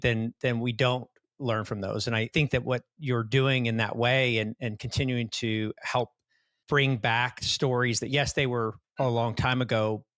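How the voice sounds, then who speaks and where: A man co-hosting a podcast, reflecting earnestly and deliberately in conversation. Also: medium pitch, a bit bright, no echo. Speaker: podcast co-host.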